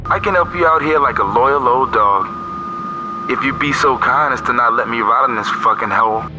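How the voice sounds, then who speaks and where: Game cutscene: wheedling male eavesdropper, played by an actor, medium pitch, matey and insinuating, music underneath. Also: moderate pace, dull audio, natural voice. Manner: chummy, leering, insinuating. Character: sly, crude, wheedling male eavesdropper Bruce, a stranded hacker. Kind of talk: video game cutscene voice acting. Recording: music behind the voice.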